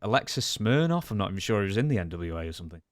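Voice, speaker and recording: A man's voice: fairly low pitch, big pitch swings, racing, dry recording, clean studio sound. A man interviewing casually as a podcast co-host.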